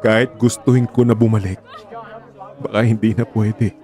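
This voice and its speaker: A resigned, secretive male lover in a radio drama, played by a man. His dark, soft-edged voice is subdued and apologetic as he pushes his partner away. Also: on the low side, steady tone, moderate pace, faint music behind, some chatter behind, natural voice. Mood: resigned, sorrowful. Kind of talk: Tagalog radio drama acting.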